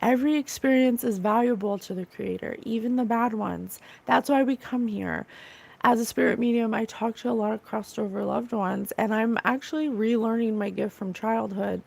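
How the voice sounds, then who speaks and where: A fairly high-pitched woman with a gravelly, slightly rough and raw voice. She hosts a spiritual livestream in a casual, chatty way. She talks earnestly and gushes about love. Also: fairly even intonation, moderate pace, darkish tone. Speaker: psychic medium livestream host. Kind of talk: casual livestream chat reading comments.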